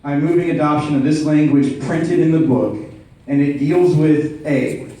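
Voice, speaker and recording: A man's voice: a little high, normal speed, dark tone. A man speaking as a delegate in a formal procedural debate, putting precise, methodical and insistent questions to the chair. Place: large indoor meeting room. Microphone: floor microphone. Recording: roomy echoing hall, distant mic.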